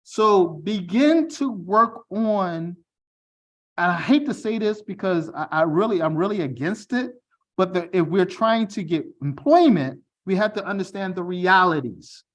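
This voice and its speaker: A man's voice: high-pitched, animated, unhurried. Middle-aged American man hosting a video call. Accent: General American, mild Baltimore. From Baltimore, United States. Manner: direct, conversational, checks in with "okay?" and "all right?". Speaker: information session host. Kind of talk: online presentation.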